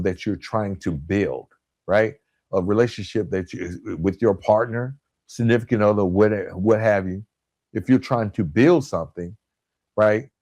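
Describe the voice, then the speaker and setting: A man delivering a motivational self-help podcast talk as a coach, with emphatic, deliberate phrasing and frequent check-in tag questions. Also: on the low side, a little mellow, no echo, studio quality. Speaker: podcast host and relationship coach.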